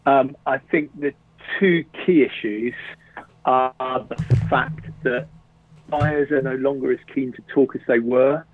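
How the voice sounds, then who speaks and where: A man with an English accent, a guest consultant, answering interview questions in a measured, methodical way. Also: mid-range voice, steady tone. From England. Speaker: guest, print sales consultant. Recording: dry, tinny phone line.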